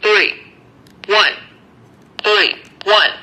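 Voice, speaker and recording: A woman's voice: mid-range voice, very slow, pushed and bright, rough recording. A woman's voice prompt announcing clipped numbers through a small radio speaker. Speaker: walkie-talkie voice prompt.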